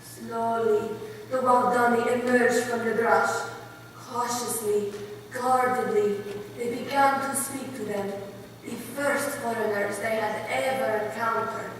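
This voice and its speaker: A woman performing as a solemn storyteller in a dramatic stage recital, measured and earnest. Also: a little high, steady tone. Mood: grave. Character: solemn storyteller, missionary widow and tribeswoman. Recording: very echoey hall from far off, faint noise.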